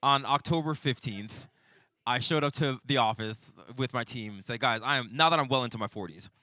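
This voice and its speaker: Bright-voiced man teaching like a pastor. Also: medium pitch, moderate pace, no room sound. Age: 40s. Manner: conversational, often checks in with "right?". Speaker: pastor teaching a ministry breakout session. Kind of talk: informal sermon and teaching.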